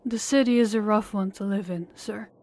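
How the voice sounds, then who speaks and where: A young woman playing a worn-out, loving girlfriend who talks softly and hesitantly, often stumbling over her words. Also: medium pitch, moderate pace, close to an everyday voice. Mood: pleading. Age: young adult. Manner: soft, hesitant, stammering restarts. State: exhausted. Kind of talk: audio roleplay acting.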